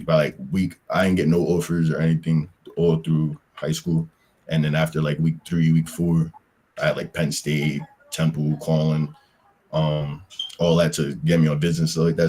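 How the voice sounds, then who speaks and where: A man's voice: extremely low pitch, darkish tone, slightly rough. A young man from Pennsylvania, a football player answering interview questions in a loose, rambling way with slang and lots of fillers. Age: young adult.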